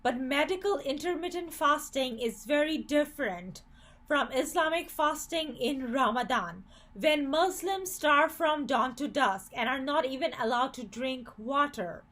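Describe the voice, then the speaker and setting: A woman's voice: high-pitched, expressive, unhurried, fairly bright tone. A woman from Bangladesh giving an earnest, critical opinion commentary, with occasional stumbles and restarts.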